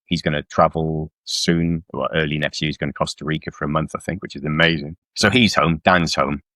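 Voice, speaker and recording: Middle-aged male author from South Wales, notably low register, informal interview guest, very little room sound. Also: steady tone, brisk, bright, forceful tone, dry recording. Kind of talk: casual conversational interview. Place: indoors at home on a video call.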